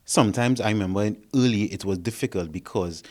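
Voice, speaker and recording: A man's voice: on the low side, quick pace, darkish tone. A man who works as a therapist and behavior consultant, explaining his ideas on a discussion panel and often checking in with "right?".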